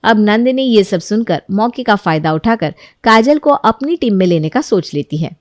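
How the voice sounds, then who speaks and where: A woman narrating a moral cartoon story in an even storytelling manner. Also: mid-range voice, normal speed, dry recording, studio quality.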